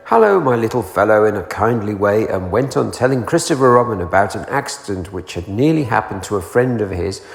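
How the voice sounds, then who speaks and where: A man reading a children's story aloud in an animated, expressive way. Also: lowish voice, fairly quick, vocal fry, few pauses, roomy sound, in a large hall. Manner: animated, acting out character voices. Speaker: storybook reader.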